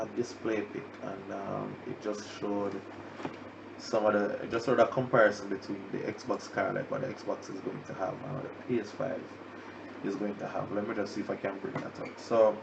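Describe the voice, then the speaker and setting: A man's voice: fairly low pitch, creaky. A man with a Jamaican accent hosting a casual solo livestream podcast, nasal and congested with the flu, rambling loosely with many fillers and restarts. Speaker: podcast host. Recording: dull lo-fi stream audio with background noise.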